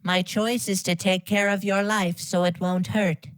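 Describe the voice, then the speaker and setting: A woman's voice: medium pitch, flat delivery, measured pace, no echo, poor audio. A woman's voice reading scripted game lines in a stilted, literal way. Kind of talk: flat reading of garbled translated game lines.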